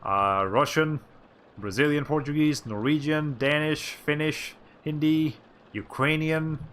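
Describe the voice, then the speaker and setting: Male streamer, bright tone, casual rambling game commentary with dry asides. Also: on the high side, measured pace. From Latin America. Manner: rambling, self-correcting, with wry asides. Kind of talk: casual chat while playing a game.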